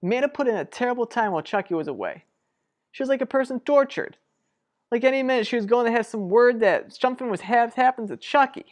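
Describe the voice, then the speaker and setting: An actress playing an earnest, protective sister in a radio soap drama, speaking deliberately and persuasively. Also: female voice, fairly high pitch, lively intonation, close to an everyday voice. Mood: pleading. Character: earnest, protective sister pleading for a troubled couple. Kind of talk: revived vintage radio soap drama acting.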